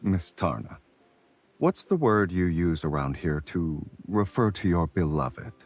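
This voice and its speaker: An actor playing a nonchalant, teasing man in a romance game, speaking in an unhurried, suave way. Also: sing-song, exaggerated voice. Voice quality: husky, very deep. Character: nonchalant, teasing man, protagonist's traveling companion.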